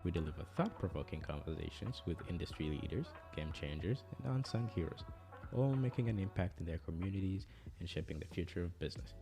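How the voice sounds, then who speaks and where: A man's voice: deep voice, expressive, fast. A man announcing a podcast intro in a polished promo delivery. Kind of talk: scripted intro. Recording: intro with clear music behind.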